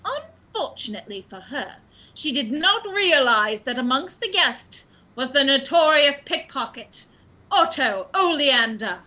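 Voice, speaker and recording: A woman's voice: high voice, very animated, a little slow, sharp tone, exaggerated voice, low-quality recording. A woman playing a melodramatic detective in a comedic radio play, declaiming her deductions theatrically. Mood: grandly explaining. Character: melodramatic woman detective, triumphant and dutiful daughter.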